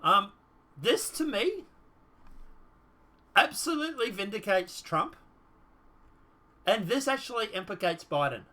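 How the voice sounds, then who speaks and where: A man's voice: high voice, very animated, unhurried, pauses often, bright, forceful tone. A man giving casual political commentary while reading from a document, dismissively trailing off with repeated filler.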